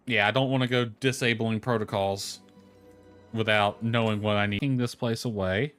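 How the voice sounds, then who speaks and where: A man's voice: on the low side, steady tone, normal speed, a bit bright. A man livestreaming a video game, talking casually to himself and reading game text aloud. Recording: music playing behind the voice. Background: lo-fi music.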